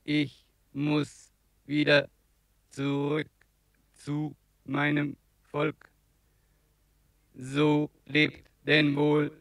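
An actor playing a gentle, wounded alien visitor in a children's audio drama, halting and monotone, with a creaky voice. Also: male voice, fairly high pitch, no room sound, exaggerated voice, low-quality recording. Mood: solemn farewell. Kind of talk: 1980s German children's audio drama acting.

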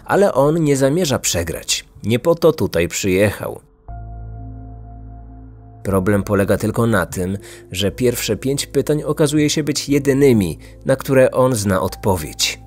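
A man's voice: medium pitch, animated, unhurried, a little mellow, faint music behind. A man in his thirties reading scripted true-crime narration as a podcast host.

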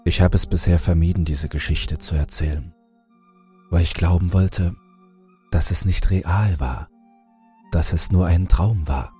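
A man reading a scripted horror audiobook in a measured, dramatic storytelling manner. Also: deep voice, dark tone. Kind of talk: scripted creepypasta audiobook narration. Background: background music.

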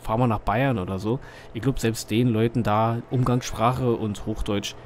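A man's voice: on the low side, fairly even intonation, normal speed, a little hiss or hum. Chatty man with a Berlin dialect. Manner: chatty, rambling, thinks aloud with fillers. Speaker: gaming channel host. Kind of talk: casual gameplay commentary.

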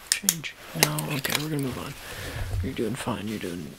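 Soft-spoken ASMR man over heavy noise. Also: medium pitch, lively intonation. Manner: hesitant, halting, mumbling, repeats phrases. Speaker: ASMR roleplayer playing an eye surgeon. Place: small dim room, indoors. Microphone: close to the mic, near camera. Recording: noisy close-up home recording with clicks.

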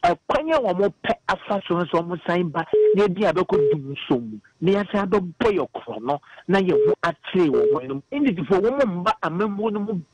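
A man's voice: high-pitched, sing-song, normal speed, few pauses, slightly rough, dry recording. A man from Ghana calling in to a radio show, making an earnest, preachy case. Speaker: caller. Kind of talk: phoned-in political opinion. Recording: phone line on air.

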